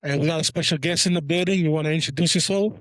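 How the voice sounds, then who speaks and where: A man's voice: a little high, rapid-fire, a bit bright, no room sound, clean studio sound. A man hosting a casual podcast, opening the show and asking questions in a playful, joking way. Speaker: podcast host.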